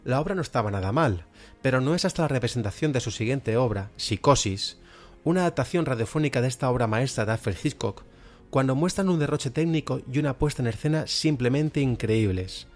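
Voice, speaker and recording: A male podcast presenter with a Spanish accent from Spain talks in a medium-pitched voice. He reviews audio drama with enthusiasm, as if from loose notes. Faint music plays behind him. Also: animated, fairly quick, a little mellow. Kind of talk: semi-scripted podcast review of radio drama. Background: background music.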